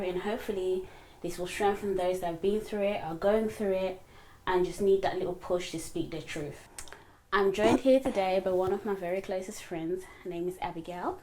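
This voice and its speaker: A woman's voice: mid-range voice, dark tone, slight background noise, rough recording. A woman hosting a casual interview, asking questions in a friendly, teasing way. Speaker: host.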